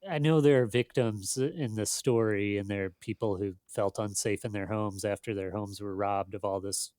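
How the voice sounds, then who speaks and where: A man speaking casually as an editor being interviewed, in a thoughtful, halting, self-deprecating way. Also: fairly low pitch, fairly even intonation, a little mellow, dry recording, studio quality. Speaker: magazine editor, interview guest.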